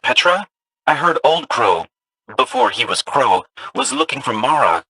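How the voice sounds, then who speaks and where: A man voicing a small chatty robot companion in a video game, anxious, hesitant and wordy, with a creaky, raspy edge. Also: medium pitch, wildly swooping pitch, unhurried, bright, forceful tone, slight background noise, heavily acted, low-quality recording. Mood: worried curiosity.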